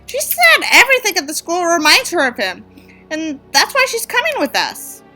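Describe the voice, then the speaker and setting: A woman voicing a weary, bossy middle-aged mother with drawn-out, overwrought delivery in a story reading. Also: extremely high pitch, huge pitch swings, bright, forceful tone, soft background music, pitched above the performer's own voice, heavily acted. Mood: dramatic, grave. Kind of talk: mocking dramatic reading of a story.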